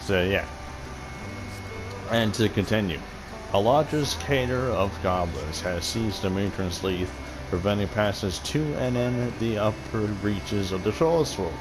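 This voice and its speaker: A man with a deep voice casually commenting on a game and reading quest text, rambling and swearing freely. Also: noisy background. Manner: profane, rambling, laughs often. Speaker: gaming video host.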